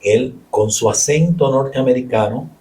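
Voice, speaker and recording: A man with a Puerto Rican accent, a friar answering interview questions in a measured way, with pauses and restarts. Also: medium pitch, dark tone, distant mic, rough recording. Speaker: Capuchin friar and guest.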